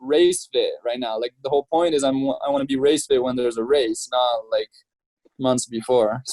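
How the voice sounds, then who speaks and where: A relaxed young man from France talks through his story in a loose, chatty way, full of fillers and easy laughter. His voice sits at an ordinary middle pitch, and the recording is close and dry with no room around it. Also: moderate pace, no room sound, poor audio. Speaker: professional triathlete, guest. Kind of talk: casual interview chat.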